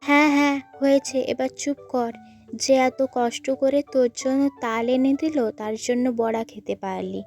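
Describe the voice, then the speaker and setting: Woman acting a fretful, mellow-voiced cartoon mother. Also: high-pitched, fairly even intonation, moderate pace, vocal fry, soft background music. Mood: scolding. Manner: fussing, chiding. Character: fretful, scolding but caring village mother.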